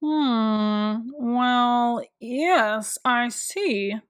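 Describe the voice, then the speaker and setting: A woman's voice: on the high side, very slow, clear, resonant tone. A woman voicing a fussy, bumbling male doctor in a children's storybook, with an emphatic, pompous way of talking. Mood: pondering. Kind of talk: children's storybook read-aloud.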